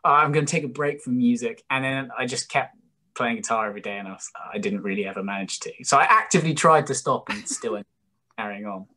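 A man's voice: mid-range voice, fast talker, pushed and bright. Rambling, self-deprecating young British man. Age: early 20s. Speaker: guest, singer-songwriter musician. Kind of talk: casual chat interview.

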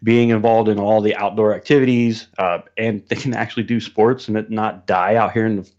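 A man's voice: lowish voice, steady tone, a little fast, a little mellow. A man as a podcast guest, telling stories casually in a colloquial, vivid and emphatic way over muffled livestream audio. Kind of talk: casual storytelling chat.

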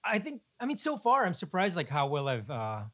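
A young man, co-hosting a podcast in relaxed, chuckling casual chat. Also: high-pitched, a little fast. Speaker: podcast co-host. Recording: dull lo-fi podcast audio.

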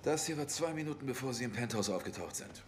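An actor playing a dry, quirky police detective in a dubbed TV drama, questioning in a deliberate way. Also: male voice, medium pitch, expressive, slight background noise, close to an everyday voice. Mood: probing.

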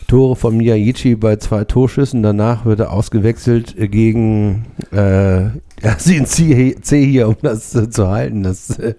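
Man with a dark, mellow voice. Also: fairly low pitch, fairly even intonation, measured pace, hardly any pauses. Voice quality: stutter, let out playfully at times. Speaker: podcast host. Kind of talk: casual jokey chat.

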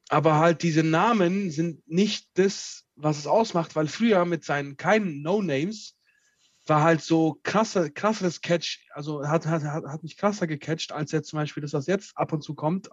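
A man's voice: fairly high pitch, no room sound. Slangy young man, dry lo-fi sound. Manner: slangy, emphatic, quick agreeing interjections. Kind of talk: casual chat. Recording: dry, dull lo-fi mic.

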